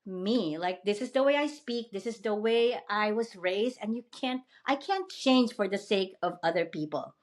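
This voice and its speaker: A woman's voice: mid-range voice, animated, normal speed, hardly any pauses. A woman from the Philippines with a Filipino accent, hosting a podcast interview in casual, enthusiastic chat with many fillers. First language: not English. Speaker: podcast host.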